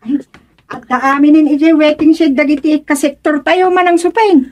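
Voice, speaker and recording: A woman's voice: sits high, fairly even intonation, moderate pace, natural voice. A woman with a Filipino accent playing a proud, emphatic village councilwoman in a radio comedy-drama. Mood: proud, chatty. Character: village councilwoman, proud of her neighbourhood's unity.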